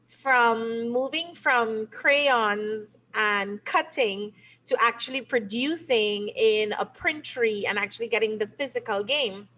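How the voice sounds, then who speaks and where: A middle-aged woman from Trinidad and Tobago answers interview questions. She explains her ideas patiently, the way a teacher would. Her voice sits a touch higher than usual. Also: fairly bright tone, slight background noise, low-quality recording. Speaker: game creator and teacher.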